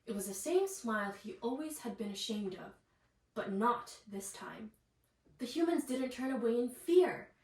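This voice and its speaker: A woman reading a children's bedtime story aloud in an animated way, with asides to the young listeners. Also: a little high, moderate pace. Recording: echoey room, distant mic, faint noise.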